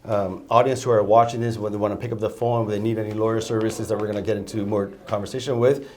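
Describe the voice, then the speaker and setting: A man hosting a studio interview show, opening formally and interjecting with frequent hesitations and quick affirmations. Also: fairly low pitch, level tone, darkish tone. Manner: formal introductions, frequent "uh" and "excellent". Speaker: show host.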